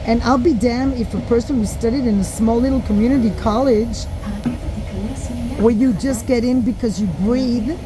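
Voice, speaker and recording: A woman's voice: steady tone, moderate pace. A middle-aged woman, a drama and yoga teacher, giving a frank, opinionated monologue as she records on her phone. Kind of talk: casual monologue vlog. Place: inside a moving train. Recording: train rumble in the background. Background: train and vehicle noise.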